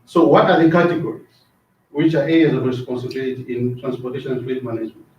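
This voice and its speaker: A man's voice: mid-range voice, fairly even intonation, fast talker, a little hiss or hum. A middle-aged man from Zambia teaches a class in a casual lecture style, often checking in with "right?" and repeating words as he goes. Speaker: trainer. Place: training classroom. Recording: echoey room, distant mic, dull sound.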